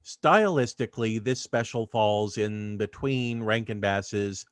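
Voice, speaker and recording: A man's voice: lowish voice, takes it slowly, heavy background noise. A man hosting a video, reading scripted narration. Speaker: video host.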